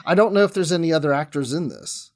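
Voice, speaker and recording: A man's voice: fairly high pitch, animated, fast talker, darkish tone. A man chatting casually as a podcast guest, with a jokey, easygoing way of talking. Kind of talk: casual chat.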